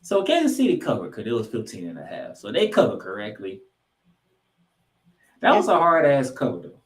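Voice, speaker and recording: A man hosting a sports podcast, giving football commentary in an emphatic way, with dramatic pauses and repeated phrases. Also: medium pitch, wildly swooping pitch.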